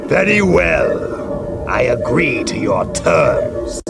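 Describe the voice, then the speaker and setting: An actor playing a proud, furious alien warrior admiral in a video game, gravelly and blustering, with drawn-out words. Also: male voice, mid-range voice, full character voice. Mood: grudging. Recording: loud music under the voice.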